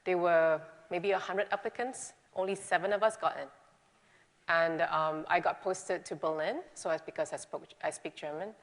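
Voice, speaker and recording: A woman's voice: on the low side, fairly even intonation, normal speed. A woman in her forties from Singapore, a native Malay speaker, answering interview questions on stage in a chatty, self-deprecating way. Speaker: guest speaker. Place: lecture hall. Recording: reverberant hall.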